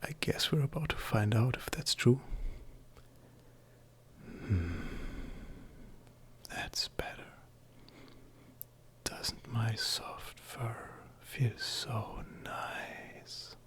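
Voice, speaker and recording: Man voicing a furry male friend, breathy whisper, slow, affectionate teasing, soft hesitant flirting, roleplay ASMR. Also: medium pitch, animated. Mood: coy, cozy.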